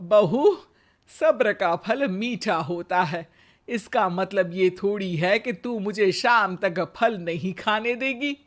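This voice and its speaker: A woman's voice: medium pitch, animated, takes it slowly. Woman doing a bossy old saas in a hindi cartoon, snappy n scolding, takes her time talking. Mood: demanding. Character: bossy, gluttonous, short-tempered old Hindi mother-in-law. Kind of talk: comic animated moral story acting.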